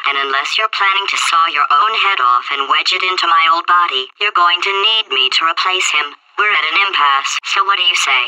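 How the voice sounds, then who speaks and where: A woman's voice: deep voice, pushed and bright. A woman voicing a weakened, sardonic female AI trapped in a potato, who sounds exasperated and keeps bargaining, with a tinny small-speaker effect on her voice. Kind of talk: video game voice clips.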